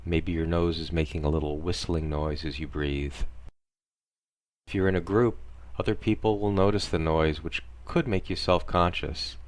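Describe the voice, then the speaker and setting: A man narrating a scripted parody guided meditation in a deadpan, soothing instructional manner. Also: extremely low pitch, normal speed.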